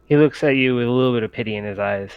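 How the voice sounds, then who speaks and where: A man's voice: medium pitch, rapid-fire, clear, resonant tone, dry recording, phone-quality sound. A man improvising narration as game master of a tabletop roleplaying game, hesitant and thinking aloud.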